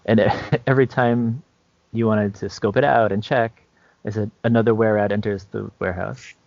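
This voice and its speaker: A man co-hosting a podcast about tabletop games, talking casually in a halting, conversational way with a gravelly voice. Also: fairly low pitch, steady tone, darkish tone, highs cut off. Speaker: podcast co-host and game master.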